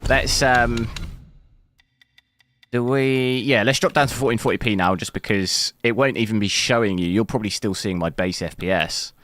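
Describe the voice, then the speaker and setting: A man streaming a video game live, talking casually through the settings, with a hoarse voice he says he is losing. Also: on the low side, normal speed. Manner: thinks aloud casually, bursts into loud dismayed cries. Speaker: gaming streamer. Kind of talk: live gameplay commentary. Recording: noticeable background noise.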